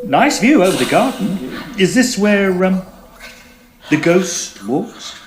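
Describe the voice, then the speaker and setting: A man's voice: high-pitched, sing-song, slow pace, noisy background, full character voice. A man playing an eager, inquisitive Edwardian ghost hunter in an audio drama, with theatrical, formal diction. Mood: brisk, curious. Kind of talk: audio drama narration and dialogue.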